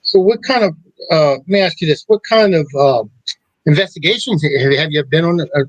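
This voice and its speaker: A middle-aged man hosts a casual talk show, his voice sitting a bit high for a man. He rambles and stops to restart his sentences, and the sound is close and dry with no room around it. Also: dry recording, low-quality recording. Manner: rambling, halting, with many restarts. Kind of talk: casual chat.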